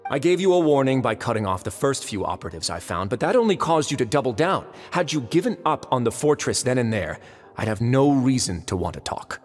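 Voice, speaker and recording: A man performs a calculating lord of a fortress in a video game, courteous and sardonic with menace underneath. His low voice moves through very lively intonation, set in long reverb with music. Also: natural voice. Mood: stern. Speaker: voice actor in a dubbed video game. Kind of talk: theatrical game cutscene dialogue.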